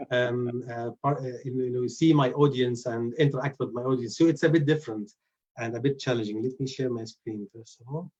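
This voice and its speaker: A man's voice: mid-range voice, fairly even intonation, moderate pace, a little mellow. A man, giving a lecture as an oral surgeon with many fillers and restarts. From Egypt. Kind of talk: webinar lecture. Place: indoors at home. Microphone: computer mic on a video call. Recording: dull lo-fi video call audio.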